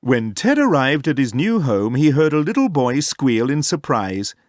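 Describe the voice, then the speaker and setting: A man reading a children's story aloud as narrator, expressive and clearly phrased. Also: medium pitch, normal speed, no echo, studio quality. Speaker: storybook reader.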